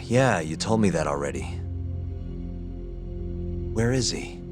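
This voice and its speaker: An actor playing a terse, determined investigator in a video game, talking in a casual, matter-of-fact way. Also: male voice, deep voice, animated, slow, music in the background. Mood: impatient. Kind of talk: video game cutscene acting.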